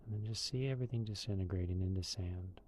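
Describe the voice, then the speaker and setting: A man leading a guided meditation in a calm, unhurried, loosely conversational way. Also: low-pitched.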